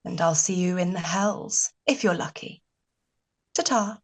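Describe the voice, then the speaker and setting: A woman performing game character lines with a breathy, husky voice and a teasing drawl. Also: on the low side, unhurried, slight background noise.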